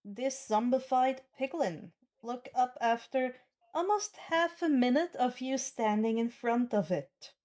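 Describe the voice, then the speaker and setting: A woman with a high voice narrates as game master of a tabletop game. She takes her time, making up the scene as she goes and pausing between phrases. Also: lively intonation, a little mellow.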